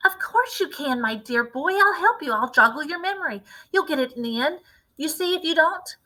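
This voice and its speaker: A woman voicing a wildly excited, impatient, domineering farmer father in a storybook read-aloud, exclamatory and emphatic. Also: high-pitched, moderate pace, voice pushed up. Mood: eager, insistent.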